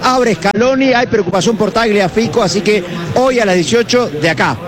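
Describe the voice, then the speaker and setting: A man's voice: high voice, normal speed. A man with an Argentine accent doing chatty, informal live reporting among football fans, often tagging questions onto his sentences. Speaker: roving radio reporter. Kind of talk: live street reporting and fan interviews. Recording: outdoor street crowd and traffic noise.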